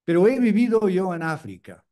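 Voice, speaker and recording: A man preaching a sermon in Spanish, a native English speaker, in a slow, deliberate way with repeated phrases. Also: high voice, big pitch swings, dark tone.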